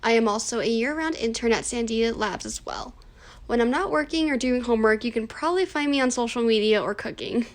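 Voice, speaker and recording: A woman's voice: a little high, a little fast. A woman in her early twenties, a native Cantonese speaker raised in the United States, earnestly reading prepared answers in a class project interview. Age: 20s. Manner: earnest, scripted, reflective.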